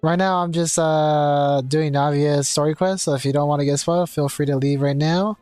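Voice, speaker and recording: A man's voice: on the high side, fairly even intonation, moderate pace, darkish tone, smooth, no room sound. A young man commenting casually on his gameplay as a streamer, chatty and rambling. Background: faint game music.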